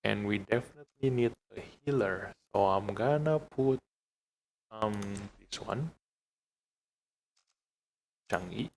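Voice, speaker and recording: A man from Indonesia with an Indonesian accent gives casual live gameplay commentary as a streamer, thinking aloud in a halting way. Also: lowish voice, gravelly, low-quality recording. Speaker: game streamer.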